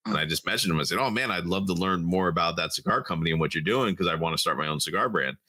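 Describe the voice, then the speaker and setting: Middle-aged guy on a podcast, real low voice but bright, talks fast, dry close sound. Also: no echo. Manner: fast, chatty storytelling with many fillers. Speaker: podcast guest, cigar brand founder. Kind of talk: casual chat.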